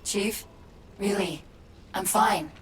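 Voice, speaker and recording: A woman's voice: medium pitch, very slow, slight background noise, poor audio. A woman playing a wry, caring and urgent female AI companion in a cinematic video game. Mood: subdued, troubled. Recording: loud music and game sound effects behind.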